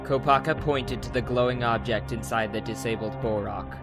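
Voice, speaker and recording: A man's voice: mid-range voice, fairly even intonation, moderate pace. Male audiobook narrator over music. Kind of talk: scripted dramatic audiobook narration. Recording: music behind the voice.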